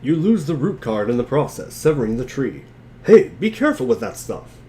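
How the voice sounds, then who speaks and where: Man, theatrical and wry, animated pitch, dramatic reading, slightly noisy recording. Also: moderate pace. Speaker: reader in a group dramatic reading. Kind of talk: dramatic reading of narration and dialogue with casual asides.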